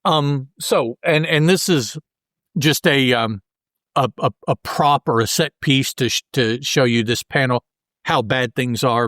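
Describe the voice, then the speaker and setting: A man hosting a casual comic-book discussion in a halting, self-correcting way. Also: medium pitch, fairly bright tone, no echo, studio quality. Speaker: podcast host.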